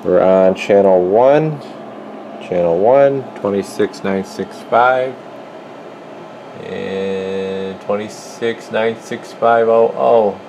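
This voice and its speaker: A man's voice: fairly low pitch, drawn-out words, background noise. A man giving a casual hands-on demonstration as a repair technician, thinking aloud in informal workbench slang in an indoor workshop, recorded on a handheld camera. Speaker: radio repair technician presenting his work. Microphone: handheld camera, speaker behind it.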